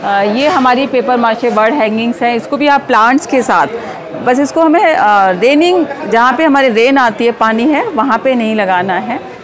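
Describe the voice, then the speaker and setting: A middle-aged woman explaining her handmade products at an exhibition stall, unhurried, mixing Hindi with English. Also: on the high side, animated. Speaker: startup owner showing products. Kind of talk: casual product walkthrough at a stall. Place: indoor exhibition hall stall. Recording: busy expo crowd behind.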